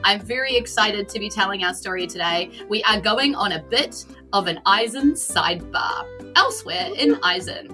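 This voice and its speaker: A woman's voice: medium pitch, animated, moderate pace, few pauses, bright, forceful tone, faint music behind. A woman with a New Zealand accent, hosting and narrating as game master on a tabletop roleplaying podcast.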